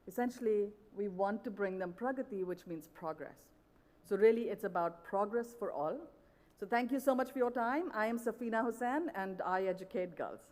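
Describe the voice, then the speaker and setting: A woman's voice: mid-range voice, normal speed, a little mellow, long reverb tail. A woman giving an earnest, persuasive talk on stage at a conference, often saying "you know". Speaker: conference speaker. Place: large event hall.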